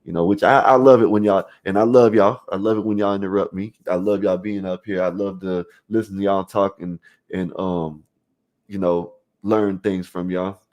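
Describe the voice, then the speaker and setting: A man's voice: low-pitched, steady tone, normal speed, darkish tone. A man raised in Florida, hosting a live call-in stream, reading the chat aloud and rambling in loose slang, full of "you know what I mean.". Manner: rambling with restarts, talking straight to viewers.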